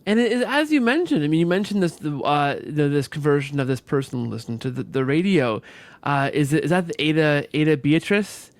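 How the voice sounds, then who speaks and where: A man hosting a conversational interview podcast, who rephrases haltingly as he goes and often tags his points with a question seeking agreement. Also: fairly high pitch. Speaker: podcast host.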